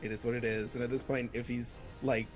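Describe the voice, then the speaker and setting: A man's voice: medium pitch, level tone, a little fast, rough recording. A man playing a tabletop role-playing game, casually improvising what his character says and does. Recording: faint music behind the voice.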